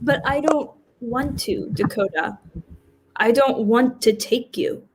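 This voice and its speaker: A woman's voice: a little high, slow pace, poor audio. A woman actor in a staged play reading, playing Death as an exasperated, reluctant office worker with an emphatic, conversational delivery. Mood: reluctant, earnest. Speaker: actor in a community theater reading.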